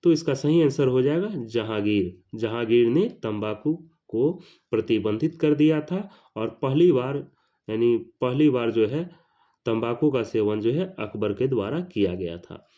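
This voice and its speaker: A man with an Indian accent delivers an exam lesson as a teacher, in question-and-answer form. His voice is dark and mellow at an average pitch. He repeats questions and answers deliberately, and the recording sounds a little dull. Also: expressive.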